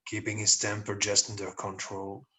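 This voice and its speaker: A man's voice: on the low side, completely flat delivery, moderate pace, sharp tone. A man giving scripted narration, reading out the stage directions of a comedy play.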